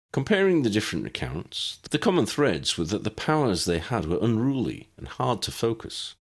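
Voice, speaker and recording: Low-pitched husky man reading a story. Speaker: audiobook narrator. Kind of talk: scripted story narration.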